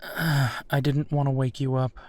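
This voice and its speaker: A man's voice: mid-range voice, little pitch movement, a little slow, no echo, lightly acted. A man plays a werewolf private investigator in an intimate audio drama. He takes his time over each phrase, sounding protective and fond, with a teasing edge beneath his dogged resolve. The sound is dry, with no room around the voice. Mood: gently concerned. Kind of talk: roleplay audio drama, intimate one-sided dialogue.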